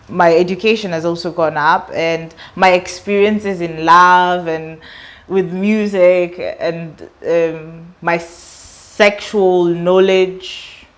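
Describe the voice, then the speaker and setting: A young woman from Kenya whose English carries a British-sounding accent. Her voice sits a little low. She talks casually and expressively in an interview, breaking into laughter often. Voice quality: laughs often while talking, chatty and expressive. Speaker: interviewee, student and artist.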